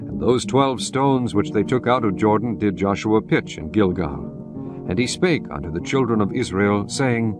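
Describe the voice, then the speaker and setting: A man narrating scripture in measured, steady King James English. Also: lowish voice. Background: guitar music.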